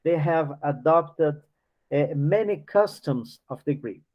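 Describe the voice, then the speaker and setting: A man leading a book study class. He reads passages aloud and explains them, halting often and restarting as he searches for words. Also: a little high, animated. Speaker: study group leader. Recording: dull lo-fi recording.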